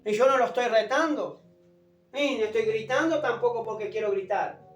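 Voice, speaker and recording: Preacher, sore strained throat, forceful exhorting delivery in a roomy space, unamplified and distant. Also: medium pitch, normal speed. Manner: emphatic, exhorting, repeats phrases and addresses listeners as brother. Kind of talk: fervent evangelical sermon. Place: echoing room, preaching unamplified. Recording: roomy, distant pickup without a microphone, music behind.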